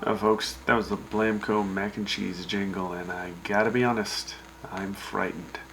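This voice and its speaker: Man playing sardonic wasteland DJ, gravelly mid-range voice, folksy, scripted radio banter, faint noise. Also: on the low side, steady tone, normal speed, a bit bright, poor audio. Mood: dryly alarmed. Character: wry, exasperated male wasteland radio DJ. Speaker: radio host.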